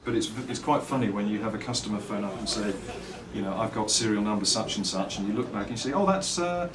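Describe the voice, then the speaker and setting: A middle-aged man with an English accent, giving reflective, articulate interview answers in measured phrases and telling anecdotes as a company owner and designer. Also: fairly low pitch, crowd noise. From England. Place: indoors at a trade show. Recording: roomy, distant mic, faint background noise.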